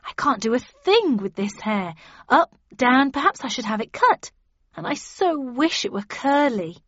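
A woman's voice: high voice, big pitch swings, unhurried, a bit bright, breathy edge, no echo, dull audio, voice pushed up, heavily acted. A woman voicing a childishly exuberant, vain young woman who chatters in a gushing, girlish way. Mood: crestfallen, wistful.

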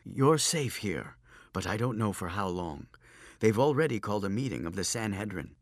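A man voicing a grave, cautious male protector in an audiobook, speaking in a quiet, subdued manner. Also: on the low side, lively intonation, normal speed, no room sound, clean studio sound, voice pushed down. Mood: grave, guarded. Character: grave, cautious male host sheltering fugitives.

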